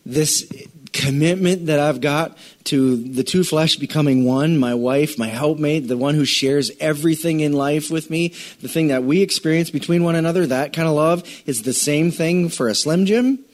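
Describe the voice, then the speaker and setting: A middle-aged man delivers a sermon in a loose, conversational way, full of fillers and restarts. His voice sits a bit high for a man and leaps up when he gets animated. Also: normal speed. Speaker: pastor. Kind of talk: sermon teaching.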